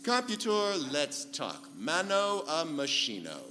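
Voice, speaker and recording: Man playing forceful, resolute space hero. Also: fairly high pitch, huge pitch swings, slow, full character voice. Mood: firm, threatening. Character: resolute male spaceship hero, firm, stubborn leader. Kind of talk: live comedic sci-fi radio play in 1940s serial style. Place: convention hall stage before live audience. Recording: echoey live hall, humming background noise.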